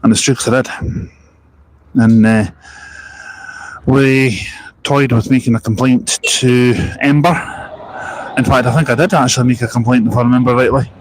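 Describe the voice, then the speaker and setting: A man's voice: mid-range voice, fairly even intonation, moderate pace, long pauses, slight background noise, poor audio. Chatty man on a live stream. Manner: rambling, chatty, spots buses and reads out comments. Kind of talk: casual live-stream chat. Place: outdoors at a bus park and ride.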